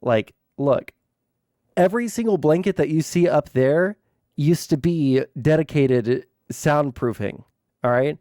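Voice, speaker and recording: A man streaming from home, chatting casually with lots of fillers and some swearing, his voice naturally loud. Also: on the high side, expressive, measured pace, no echo, studio quality. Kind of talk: casual livestream chat. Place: room hung with soundproofing blankets. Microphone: streaming mic with gain turned low.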